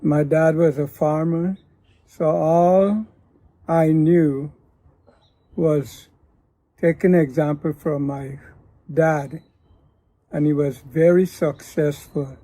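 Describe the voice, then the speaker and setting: Slow-spoken older man. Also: fairly high pitch, steady tone, dark tone, no echo. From Guyana. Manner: slow, deliberate storytelling. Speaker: pastor and father of the host. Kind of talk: reflective family interview.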